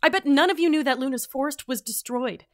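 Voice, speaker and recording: A woman playing an earnest, quick-witted traveler on a mission in a fantasy audio drama. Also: high voice, close to an everyday voice. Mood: rousing. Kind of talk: fantasy audio drama acting with log narration.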